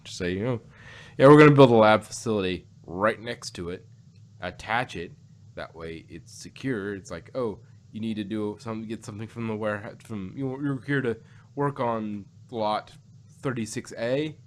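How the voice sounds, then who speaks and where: A man with a fairly low voice talks in a casual, discussion style. He rambles and repeats phrases as he works through his thoughts. The recording carries some background noise. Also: normal speed. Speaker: podcast co-host reviewing audio dramas.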